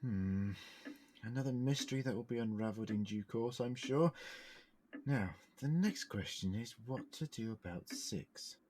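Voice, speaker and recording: A man's voice: medium pitch, moderate pace, darkish tone, close to an everyday voice. A man playing a curious, reassuring space-traveller in an audio drama, polite and a little hesitant. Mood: inquisitive.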